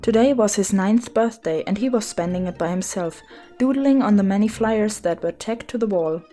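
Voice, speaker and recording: A woman's voice: medium pitch, normal speed, mellow. A woman reading a children's story as its narrator, in a scripted storybook style. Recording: music behind the voice.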